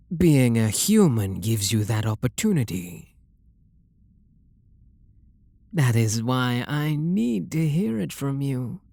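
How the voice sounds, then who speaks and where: Guy doing a british vampire lord lover, gravelly n breathy, tender but possessive, slow murmuring asmr, super close dry sound. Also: mid-range voice, dry recording, heavily acted. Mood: soothing, wistful. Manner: soft, slow, seductive murmur with breathy whispers. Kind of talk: intimate ASMR audio roleplay.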